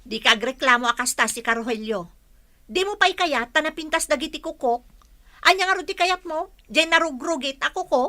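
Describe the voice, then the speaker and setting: A discontented wife in a Filipino radio drama. Her high, piercing voice swings up and down with indignation, sharp-tongued and querulous in a Filipino accent. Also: expressive, moderate pace, dry recording. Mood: indignant. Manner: querulous, retorting.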